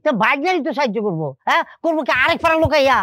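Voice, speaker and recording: An actor playing a protective, breezy village uncle with a Bangladeshi accent, arguing glibly in a comic family drama. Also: male voice, very high voice, rapid-fire, dry recording, clean studio sound, exaggerated voice. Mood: defiant, glib. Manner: argumentative, glib, animated.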